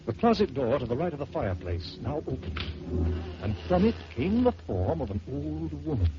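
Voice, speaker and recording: A man narrating a first-person horror story in dramatic old-time radio style, swelling and dropping to hushed tones. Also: a little high. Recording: dull lo-fi old radio recording with hiss.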